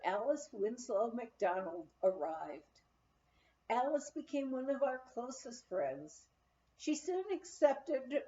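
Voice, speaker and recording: High, animated woman reading a memoir. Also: lo-fi sound. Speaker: audiobook reader. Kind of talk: narrated memoir reading, anecdotal storytelling.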